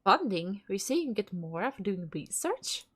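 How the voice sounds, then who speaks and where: A woman's voice: medium pitch, very animated, unhurried, a bit bright, husky. Stuffy-nosed woman streamer reading game text. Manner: reads lines aloud, swears and laughs between. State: stuffy nose. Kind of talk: casual game stream, reading dialogue aloud.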